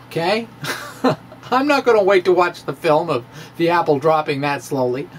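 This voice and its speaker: A middle-aged man delivers a science script like a dramatic reading. He takes his time, leaning hard on words and swinging his pitch widely, with asides thrown in. Faint noise sits behind his voice. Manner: theatrical, emphatic, with drawn-out words and asides. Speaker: science video blogger. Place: small room with bookshelves, indoors. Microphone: microphone on a stand, close in front.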